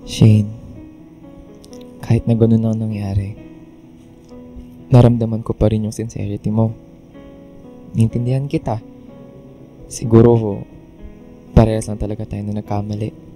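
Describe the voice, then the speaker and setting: A man playing a gentle, forgiving young man, soft and reassuring in radio drama dialogue. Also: lowish voice, level tone, measured pace, pauses often, soft background music, close to an everyday voice. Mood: understanding.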